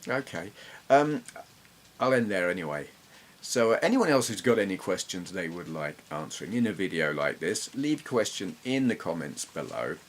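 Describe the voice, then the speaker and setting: A middle-aged man with an English accent giving casual, reflective, slightly halting commentary as he reminisces. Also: deep voice, big pitch swings. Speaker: retro gaming YouTuber.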